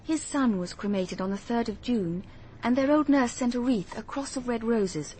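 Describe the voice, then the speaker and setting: A woman's voice: a little high, moderate pace, a little mellow, a little hiss or hum, natural voice. Woman acting earnest young detective. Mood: polite. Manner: earnest, thoughtful, literate narration. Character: earnest, observant, determined young female private detective. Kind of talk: radio drama dialogue and first-person narration.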